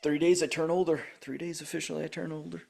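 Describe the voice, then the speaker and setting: A man's voice: fairly high pitch, little pitch movement, fast talker, vocal fry, mellow. A man in his forties co-hosting a podcast in casual conversation. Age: 40s. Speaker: podcast co-host. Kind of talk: casual chat.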